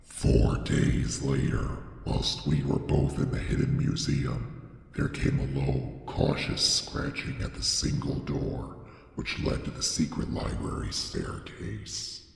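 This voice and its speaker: A man reading a horror story as a scripted, dramatic narration, with a solemn and deliberate theatrical delivery. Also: very deep voice, animated, vocal fry. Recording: echoey, long reverb on the voice.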